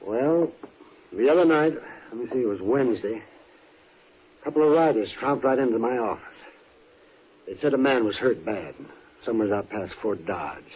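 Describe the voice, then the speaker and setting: An American actor playing a weary old frontier town doctor in a Western radio drama, hesitant and guarded in a folksy way. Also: male voice, medium pitch, lively intonation, moderate pace, pauses often, close to an everyday voice. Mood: reluctant explaining. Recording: tinny old radio recording with hiss.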